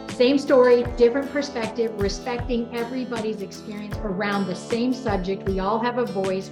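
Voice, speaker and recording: A woman hosting a casual interview in a chatty, informal, rambling way. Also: mid-range voice. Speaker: host. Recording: roomy echo, music behind voice.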